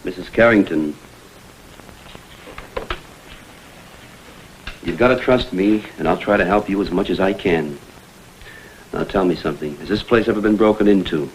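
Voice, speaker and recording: An actor playing a calm, probing private investigator in an old crime drama, speaking in a brisk, businesslike way. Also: male voice, low-pitched, natural voice, low-quality recording. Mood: earnest, insistent. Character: calm, probing male private investigator, persistent and level-headed. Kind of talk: vintage American television crime drama acting. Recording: hissy vintage film soundtrack.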